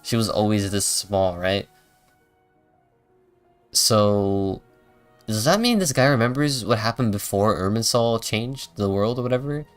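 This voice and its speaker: A man streams a game in a fairly low voice. He comments casually and muses out loud, working through his thoughts. Faint game music sits behind him. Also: lively intonation, unhurried, pauses often. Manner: thinking aloud, musing.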